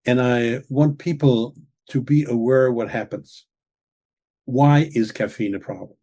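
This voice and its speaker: Guy lecturing earnestly, dark husky voice, keeps saying okay? right?. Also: medium pitch. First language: German. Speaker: author and guest expert. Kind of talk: explaining in an interview.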